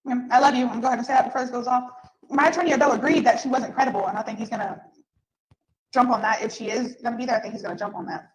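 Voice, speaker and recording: A woman's voice: fairly high pitch, quick pace, roomy sound, a little hiss or hum, low-quality recording. A woman speaking casually and personally on a jail video call, rambling and repeating herself as she talks. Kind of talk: casual personal phone conversation.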